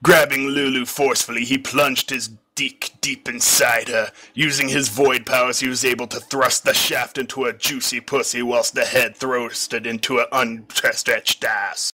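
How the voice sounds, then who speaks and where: Bright, forceful male story reader. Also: medium pitch, little pitch movement, unhurried, hardly any pauses. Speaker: fan fiction reader. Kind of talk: scripted comic narration.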